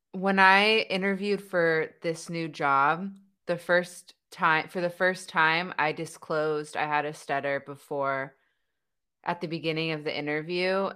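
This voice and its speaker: A young adult woman with a stutter, a podcaster talking openly in a relaxed conversation. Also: low voice, clear, resonant tone. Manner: stutters, laughs between thoughts. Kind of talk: conversational interview chat.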